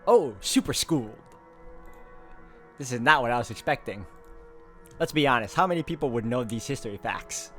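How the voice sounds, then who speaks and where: Male game player, informal read-along narration with chatty asides, average pitch, light background music. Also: animated, moderate pace, pauses often. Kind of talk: reading game narration aloud with casual commentary.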